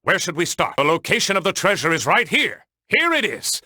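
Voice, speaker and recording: A man voicing a brainy, excitable cartoon penguin scientist in a video game, speaking with precise, technical and theatrically earnest delivery. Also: high-pitched, a little fast, slightly rough, no echo, studio quality, full character voice. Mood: eager, analytical. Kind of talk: animated cartoon game dialogue.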